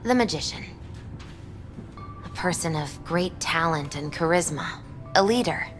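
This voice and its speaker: A woman acting as an earnest young tarot reader in a video game, speaking in a measured, solemn, mystical manner. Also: deep voice, lively intonation, over music.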